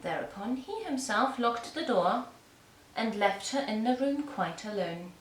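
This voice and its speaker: A woman storyteller narrating a fairy tale for young children with measured, deliberate phrasing. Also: on the high side, very animated. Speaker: storyteller and puppeteer. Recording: echoey room, distant mic, faint noise.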